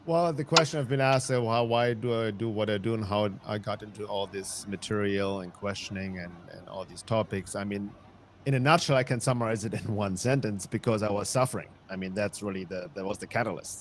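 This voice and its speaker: Man, 50s, from Germany, podcast guest, low voice, reflective, restarts often, faint street noise. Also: steady tone, fairly quick. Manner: reflective, with frequent restarts and light laughs. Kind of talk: conversational interview storytelling.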